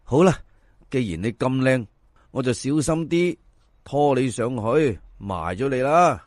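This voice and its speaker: A male storyteller plays a poor teenage Cantonese fisherman from a folk tale. He is curious, excitable and kindly, muttering his wonder in everyday words. The pitch moves about freely, and the sound is dry. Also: a little slow, no room sound, natural voice. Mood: soft admiration. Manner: wondering aloud, colloquial.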